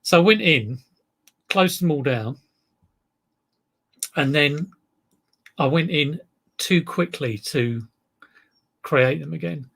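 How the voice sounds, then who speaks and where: A man with a relaxed South East London drawl chats loosely to his viewers, wandering from point to point. His voice sits a touch high for a man and carries plenty of gravelly fry. The tone is slightly husky rather than clean. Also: takes it slowly, pauses often. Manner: rambling, chatty, reads out viewer comments. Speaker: eBay reseller livestream host. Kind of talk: casual live stream chat with viewers.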